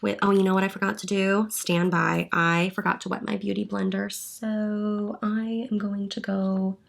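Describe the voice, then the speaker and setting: Chatty young woman doing a makeup tutorial, bit stuffy from a cold, gravelly edge to her voice. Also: medium pitch, flat delivery, normal speed. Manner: chatty, upbeat, thinking aloud while working. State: congested from a cold, sniffly. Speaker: beauty vlogger, hair and makeup artist. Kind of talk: casual get-ready-with-me tutorial.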